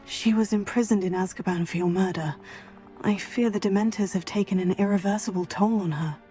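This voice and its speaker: An actress playing an earnest, composed teenage witch heroine in a video game, measured and polite. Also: mid-range voice, warm tone, soft background music, close to an everyday voice. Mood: grave. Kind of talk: video game character acting.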